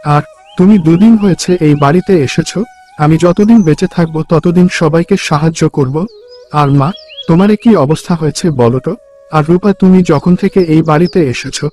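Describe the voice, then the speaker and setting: A man's voice: fairly high pitch, fairly quick, warm tone, faint music behind, lightly acted. A man voicing a righteous, devoted young married son in a cartoon, stern and reproachful. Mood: firm, questioning.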